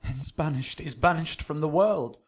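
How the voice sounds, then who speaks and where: A man's voice: on the high side, moderate pace, lightly acted. An actor playing Romeo as a desperate, lovesick young man in a Shakespearean radio drama, theatrical and impassioned. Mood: despairing.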